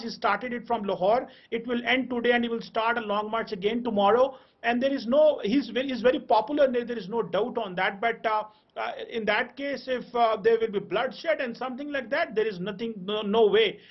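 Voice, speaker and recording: A man with a Pakistani accent giving analysis on a live TV debate, halting and often repeating words. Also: extremely high pitch, lo-fi sound. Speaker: panel analyst.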